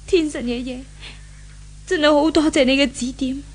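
A woman's voice: sits high, fairly even intonation, moderate pace, natural voice. A woman playing an earnest, repentant married lady of a well-off house in a 1970s Hong Kong Cantonese radio drama, in a theatrical manner. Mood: grateful earnest.

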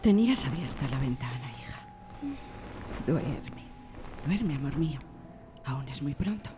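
An actress in a radio play playing a brisk, affectionate mother who runs her household assertively. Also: rumbling low, lively intonation. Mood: soothing. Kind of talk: radio theatre acting. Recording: radio drama with music behind.

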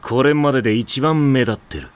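An actor playing a teasing, decisive teenage team captain in a Japanese anime audio drama. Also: male voice, medium pitch, a little fast, a little hiss or hum, close to an everyday voice. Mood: teasing, brisk. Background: background music.

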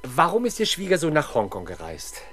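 A man playing a gruff, sardonic senior police detective in a 1970s German radio crime play, brusque and commanding. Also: a little high, wildly swooping pitch, fairly quick, a bit bright, exaggerated voice. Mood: probing.

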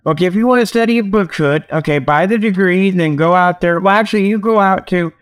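A man hosting a comedy show, giving a rambling, folksy monologue full of mock sales pitches. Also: a little fast, smooth. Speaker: host of a comedy talk show.

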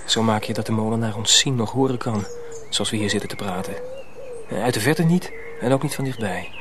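A man playing an earnest, anxious young miller's apprentice in a radio drama, asking questions in a halting way. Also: on the low side, close to an everyday voice. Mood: impressed. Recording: clearly noisy old radio recording.

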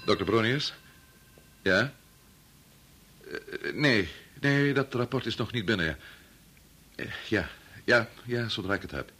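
A man's voice: lowish voice, slow pace, long pauses, bright, forceful tone, slightly rough, exaggerated voice. An actor playing a middle-aged, preoccupied and domineering doctor and father in a Dutch radio drama, muttering or curt. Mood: flustered, deferential. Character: preoccupied, domineering male doctor-scientist, father of a grown son.

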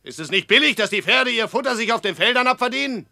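A scornful castle steward in a radio play. His voice is high and piercingly bright, and he talks in a curt, sneering way, callous and full of contempt. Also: animated, quick pace, gravelly, exaggerated voice. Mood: scornful indignation. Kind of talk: German radio drama acting.

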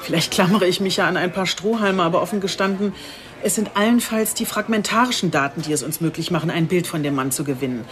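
A woman's voice: fairly low pitch, quick pace, natural voice. A woman playing a police chief inspector in a radio drama, articulate, earnest and matter-of-fact. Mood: determined, earnest. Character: determined, composed female police chief inspector. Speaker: police detective. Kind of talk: scripted radio drama dialogue.

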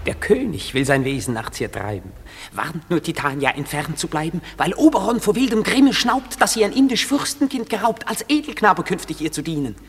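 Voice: mid-range voice, big pitch swings, a little fast, long reverb tail, faint music behind. A performer playing a mischievous sprite of the fairy king in a classic radio play, declaiming verse.

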